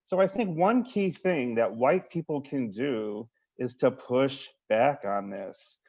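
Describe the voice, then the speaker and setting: A man makes his case on a panel discussion with insistent, repeated phrasing. His voice sits at a middling pitch. It comes through a slightly dull, muffled video-call line. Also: expressive, slow pace, a little mellow. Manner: emphatic, repeats phrases for force.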